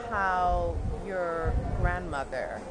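A woman's voice: lowish voice, drawn-out words. A woman in her thirties presenting and interviewing as a talk show host. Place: outdoor patio by a house. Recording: noisy outdoor ambience.

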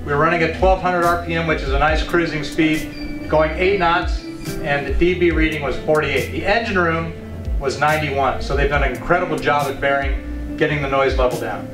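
A man's voice: fairly high pitch, fairly even intonation, normal speed, distant mic. Upbeat man touring a yacht, roomy sound. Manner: enthusiastic, descriptive, louder out on deck. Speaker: yacht broker giving a boat tour. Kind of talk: scripted walkthrough presentation. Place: aboard a yacht, cabins and open deck. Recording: roomy echo, voice a bit off-mic, music underneath.

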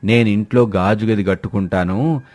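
A man's voice: on the low side, moderate pace, a little mellow, voice pushed down, natural voice. A man voicing an exasperated, grumbling father-in-law in a cartoon family story. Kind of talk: animated moral-story voice acting.

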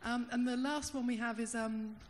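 A woman in the audience at a panel discussion, sharing thoughtful comments into a handheld microphone, pausing and restarting as she searches for words. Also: fairly high pitch, steady tone. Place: large conference hall. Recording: hall reverb, faint room hum.

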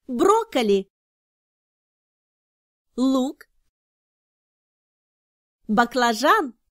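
High-pitched, animated woman narrating for kids. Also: dry recording, clean studio sound. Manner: enthusiastic, instructive, carefully enunciated. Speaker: narrator of children's educational cartoons. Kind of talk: scripted narration for toddlers.

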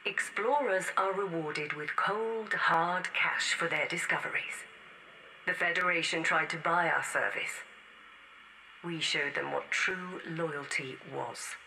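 An actress playing a defiant rebel woman leaving a grave final testament in a science-fiction game audio log, solemn, urgent and deliberate. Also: on the low side, creaky, sharp tone, a little hiss or hum, heavily acted, rough recording. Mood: grave, accusing.

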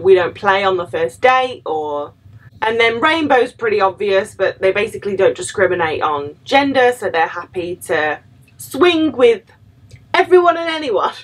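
Thirtysomething woman vlogging from home, kinda breathy, chatty, says like a lot. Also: medium pitch, rough recording. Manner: chatty, lots of "like" and quoted asides. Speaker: vlogger, former cruise ship crew. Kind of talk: casual storytelling to camera. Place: small room at home, indoors.

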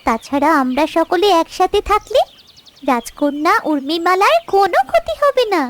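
An eager little cartoon animal, helpful and friendly. The voice is extremely high and swings widely in pitch. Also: female voice, big pitch swings, moderate pace, very noisy.

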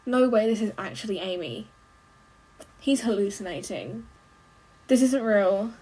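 A young adult woman giving casual, expressive running commentary as she reacts to a film, full of exclamations. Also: mid-range voice, a little slow, husky, low-quality recording. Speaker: movie reaction host. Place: small bedroom.

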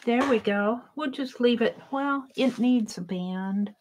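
A woman's voice: fairly high pitch, slow, slight background noise. A woman chatting to herself as she works in an art journal at her craft table, musing aloud about what to draw next. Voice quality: loud voice. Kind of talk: casual self-talk while drawing. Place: home craft table, indoors.

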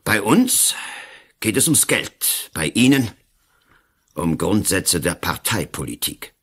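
An actor playing a pompous, cynical colonel and politician who lectures emphatically in a radio play. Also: male voice, sits low, big pitch swings, slow, fairly bright tone, breathy edge, full character voice. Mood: insistent, stern. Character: blustering, cynical male colonel and lawyer-politician. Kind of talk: German-language radio play acting.

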